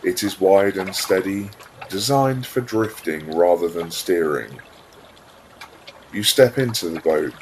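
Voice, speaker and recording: A man with a very low voice reads a guided visualisation at a very slow pace. His delivery is calm and soothing, with room between phrases. Trickling water ambience runs clearly behind him. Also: a little hiss or hum, rough recording. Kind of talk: guided meditation, scripted narration.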